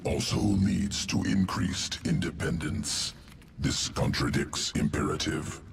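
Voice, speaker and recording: A gravelly alien creature from a sci-fi game. The voice is extremely deep and slow, swinging in pitch as it delivers clipped, clinical phrases. It sits in a roomy space. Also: male voice, very animated, far from the mic, a little hiss or hum, heavily acted. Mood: clinical, detached. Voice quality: gravelly, guttural. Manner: clipped telegraphic phrasing without articles, detached. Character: alien evolution-master creature, clinical, coldly calculating. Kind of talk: scripted sci-fi game voice acting.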